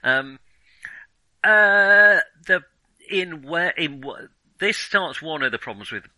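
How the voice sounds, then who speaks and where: A man's voice: a little high, expressive, slow pace, sharp tone, dry recording, rough recording. A man co-hosting a casual film discussion, talking hesitantly and often circling back to rephrase himself. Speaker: film discussion podcast co-host. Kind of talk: casual film review chat.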